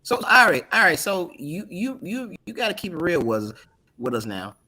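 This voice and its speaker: High, bright, husky joking man. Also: sing-song, normal speed. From Tuscaloosa, Alabama. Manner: joking, repeats words as he teases the guest. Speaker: podcast co-host. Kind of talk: casual banter.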